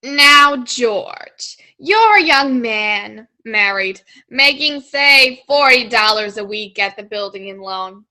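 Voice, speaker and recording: Radio play villainess, acted by a woman: grasping old banker, very bright hard voice, measured and condescending. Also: on the high side, animated, exaggerated voice. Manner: deliberate, condescending, commanding. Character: scheming old female banker, rich, domineering and grasping. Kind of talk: old-time radio drama acting.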